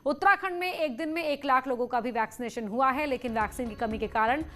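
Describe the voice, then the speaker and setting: Woman doing tv news narration, pretty high voice, bit of music behind her. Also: quick pace, a bit bright. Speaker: news presenter.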